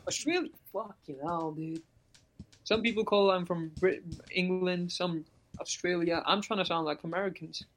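A man's voice: sits high, moderate pace, fairly bright tone. A man from India with an Indian accent, chatting casually and curiously with strangers. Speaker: stranger on a video chat. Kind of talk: casual chat.

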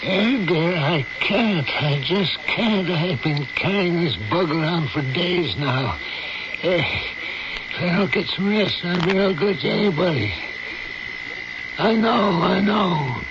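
Weary older male doctor, grumbling and unhurried, slightly high voice, noisy old radio recording. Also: expressive, lo-fi sound. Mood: weary, irritable. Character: weary ailing older male small-town family doctor. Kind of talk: old-time radio drama acting.

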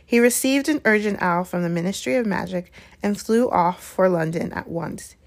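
A woman's voice: mid-range voice, moderate pace, gravelly, lightly acted. A woman voicing a stern, proper older female professor with a clipped, formal manner, in a storybook reading. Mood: cold.

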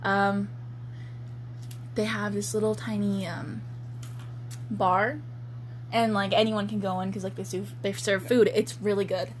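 A woman chatting casually and reading a story aloud in a halting, giggly way. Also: medium pitch, flat delivery. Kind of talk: casual chat and amateur read-aloud. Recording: faintly noisy home recording.

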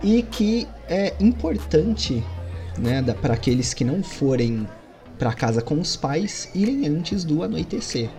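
A man's voice: a little high, unhurried. Easygoing male game master, music beneath. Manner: relaxed, rambling narration with fillers. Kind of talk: narrating a tabletop horror game. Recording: music under the voice.

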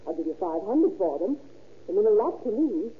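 A woman's voice: mid-range voice, very animated, brisk, slight background noise, natural voice. A woman playing a holdup victim in a 1930s radio crime drama, with emphatic theatrical delivery. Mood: earnest bargaining. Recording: old narrow-band radio recording.

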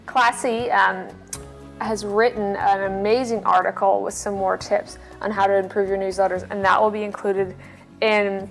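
A woman's voice: mid-range voice, level tone, normal speed, gravelly, faint music behind. A woman speaking as a podcast guest, explaining practical tips in an informal way. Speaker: podcast guest giving advice.

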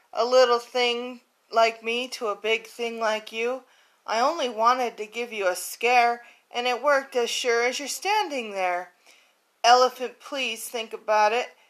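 A woman's voice: on the high side, fairly even intonation, a little slow, fairly bright tone, rough recording. A woman voicing a cheeky little male mouse in a rhyming storybook read aloud. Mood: teasing. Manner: teasing, then scolding.

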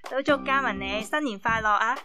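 A woman's voice: on the high side, a little fast, music in the background, natural voice. A woman playing a helpful, caring aunt in a radio drama, with a Hong Kong Cantonese accent. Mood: friendly.

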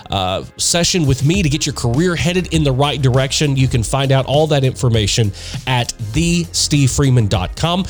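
A man's voice: mid-range voice, darkish tone. A man hosting his own podcast in a chatty, upbeat way, talking through a stuffed-up, nasal voice from allergies. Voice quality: congested, nasal. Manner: chatty, upbeat, with frequent restarts. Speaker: podcast host. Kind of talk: casual solo podcast talk.

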